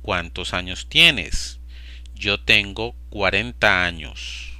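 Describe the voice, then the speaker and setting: A man slowly and deliberately repeating short phrases for language learners. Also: lowish voice, sharp tone, smooth, dry recording. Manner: slow, deliberate, evenly paced. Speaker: Spanish teacher. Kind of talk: slow repetition drill for learners.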